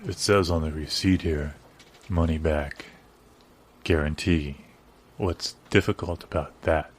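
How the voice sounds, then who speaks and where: A man playing a dogged, exasperated customer in improvised audio comedy, deadpan and insistent. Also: rumbling low, unhurried, heavily acted. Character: dogged, exasperated male customer demanding a refund. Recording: clear background ambience noise.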